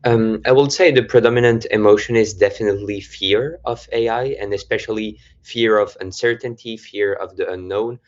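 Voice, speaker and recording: Young french guy, student being interviewed, lowish voice, french accent, sound a bit dull. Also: steady tone, normal speed, few pauses. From France. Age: young adult. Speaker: university student guest. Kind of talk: measured interview answers. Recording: slightly muffled audio.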